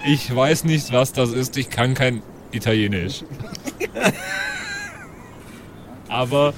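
A man voicing a dim, cocky space outlaw in a halting, blunt way during a tabletop role-play. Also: medium pitch, music in the background.

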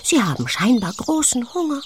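A woman reading a children's story in an expressive, hushed and wondering way, speaking directly to a small child. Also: a little high, normal speed, slight background noise. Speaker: audiobook narrator for young children. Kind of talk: gentle scripted children's story narration with questions to the listener. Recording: birdsong and clicks behind the voice. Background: forest sounds with chirping birds.

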